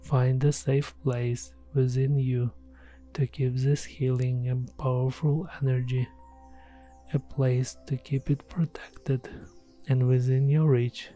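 Male, meditation guide, very even pitch, unhurried, soothing, gentle, music underneath. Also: low-quality recording. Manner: soothing, gentle, measured delivery. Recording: soft music behind the voice. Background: background music.

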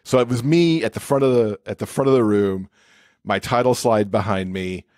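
Low-voiced man explaining in conversation. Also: moderate pace, darkish tone, no room sound, studio quality. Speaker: podcast guest, accessibility expert and author.

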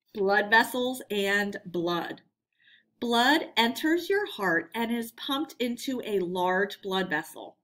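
Bright, lively woman teacher reading aloud. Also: medium pitch. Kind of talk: scripted educational read-aloud for children.

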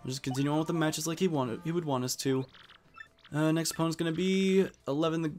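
A man's voice: fairly high pitch, steady tone, quick pace, a little mellow, soft background music. A man giving casual let's play commentary on a video game, reading dialogue aloud as he goes.

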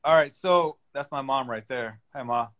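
A man's voice: mid-range voice, normal speed, a crowd in the background. A man in his forties from the United States, chatting casually on a video call and often repeating words as he goes. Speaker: contractor, panel guest. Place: indoor room, reclining. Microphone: phone at arm's length.

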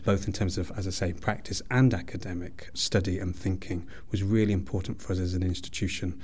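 A man's voice: on the low side, darkish tone. A man speaking as an academic guest in an interview, giving explanatory answers. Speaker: university head of department, interview guest.

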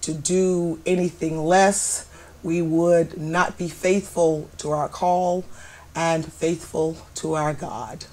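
A woman in her sixties testifying with deliberate, emphatic conviction on a lapel mic. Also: low voice, steady tone, warm tone. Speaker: minister. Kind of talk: unscripted testimonial.

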